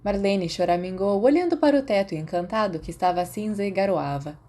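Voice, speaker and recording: A woman's voice: medium pitch, expressive, fairly quick, a little mellow. A woman with a Brazilian accent reading scripted fiction as an audiobook narrator.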